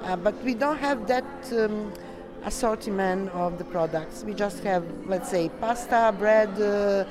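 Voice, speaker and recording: A woman from Serbia with a Serbian accent, answering interview questions in halting, non-native English as a delegate. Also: medium pitch, over music. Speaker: coeliac association delegate being interviewed.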